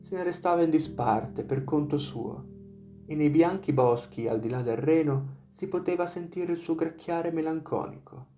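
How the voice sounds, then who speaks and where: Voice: mid-range voice, normal speed, mellow. A storyteller reading a scripted fairy tale narration over instrumental music.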